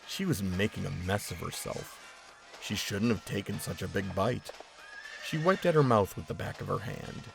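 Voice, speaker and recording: A man reading a story aloud in steady narration. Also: on the low side, normal speed, vocal fry, over music. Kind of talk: audiobook-style story reading.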